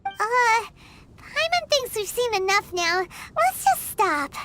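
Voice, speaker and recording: A woman's voice: very high-pitched, sing-song, bright, forceful tone, slight background noise, full character voice. A woman voicing a tiny, eager fairy companion in a video game who talks about herself in the third person. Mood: hesitant. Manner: quick, bouncy, insistent.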